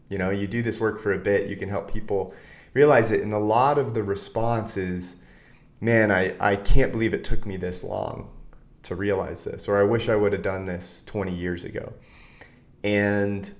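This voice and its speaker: A man who coaches other men, telling his story as an interview guest in an earnest, candid way. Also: fairly low pitch. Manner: earnest, candid, animated bursts.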